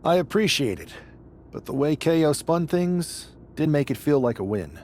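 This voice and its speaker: A man's voice: on the high side, very animated, normal speed, darkish tone, slight background noise. A man performing a wary champion wrestler in scripted game dialogue, earnest and skeptical. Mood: doubtful.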